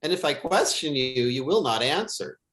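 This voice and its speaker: Animated scripture reader with swooping pitch. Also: moderate pace. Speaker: pastor reading scripture. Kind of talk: dramatic scripture reading for worship.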